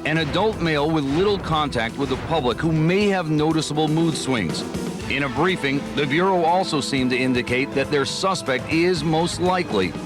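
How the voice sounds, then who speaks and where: An American man reads a scripted investigative TV report. His voice is somewhat high for a man, and music runs clearly underneath. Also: moderate pace. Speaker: TV news reporter. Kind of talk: scripted news report narration.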